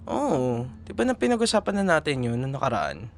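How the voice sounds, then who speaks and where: A man's voice: mid-range voice, huge pitch swings, brisk, a little hiss or hum. A man playing a young Filipino boyfriend, tender and proud, in an intimate audio roleplay, with a Filipino accent. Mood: caring, casual. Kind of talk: ASMR boyfriend roleplay drama.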